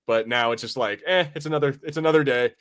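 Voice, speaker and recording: Man, medium pitch, podcast host, casual chat, blunt manner. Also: fast talker, a bit bright, smooth.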